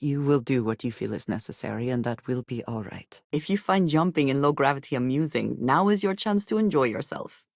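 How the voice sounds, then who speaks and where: An actress playing a guarded, earnest female companion in a space adventure video game, with formal, measured diction. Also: very deep voice, gravelly, a little mellow, no room sound, full character voice. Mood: calm, dry. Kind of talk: scripted video game character dialogue. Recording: very dry, close studio recording.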